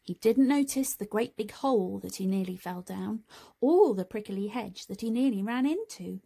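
A woman narrating a children's picture book, expressive and deliberate in her phrasing. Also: medium pitch, gravelly, husky. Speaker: storybook reader. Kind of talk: scripted children's story narration.

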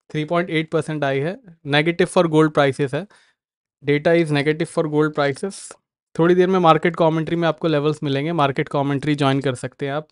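A man's voice: on the high side, clean tone, no room sound. A man with an Indian accent hosting a live market stream, answering viewers by name in a mix of Hindi and English. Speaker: market analyst host.

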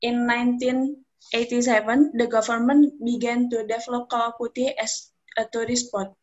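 A woman's voice: on the high side, flat delivery, moderate pace, a little mellow, lo-fi sound. A young Indonesian woman with an Indonesian accent, giving a careful, rehearsed student presentation over a video call from home. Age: young adult. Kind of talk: class project video call. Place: room at home.

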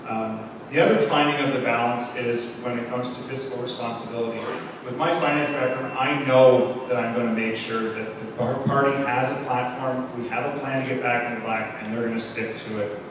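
A man's voice: medium pitch, fairly even intonation, fairly quick. A middle-aged man, a political candidate, giving a confident closing statement into a handheld mic. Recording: echoey hall from far off, background noise.

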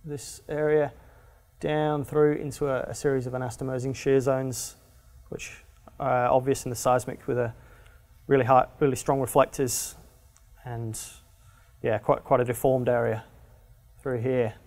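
A man, presenting geology at a lectern in a conference room, halting with many fillers and restarts. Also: medium pitch, flat delivery, darkish tone. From Australia. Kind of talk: technical conference talk. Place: conference room with projector screen. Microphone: lectern microphone.

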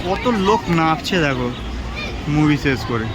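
Guy with a kolkata bengali accent, high voice, chatting to viewers while walking round, really noisy crowd. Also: expressive, measured pace. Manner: informal, pointing things out to viewers. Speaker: vlogger. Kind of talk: casual walk-around vlog narration. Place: crowded outdoor festival grounds. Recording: loud music and crowd, busy outdoor street noise.

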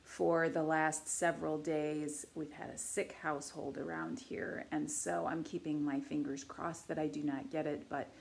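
A woman in her forties talks to camera from a living room couch, her voice very low and husky because she is fighting a cold. She speaks in an earnest, measured way, with many small pauses and fillers. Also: flat delivery. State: deeper and huskier from a cold. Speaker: artist and channel host. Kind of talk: casual to-camera vlog.

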